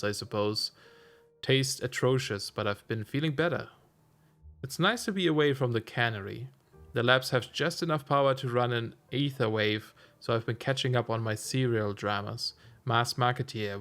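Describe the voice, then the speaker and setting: A slightly hoarse man chatting casually while streaming a game, musing aloud with self-amused asides. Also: mid-range voice, a bit bright. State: slightly hoarse, losing his voice. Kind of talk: casual let's-play commentary.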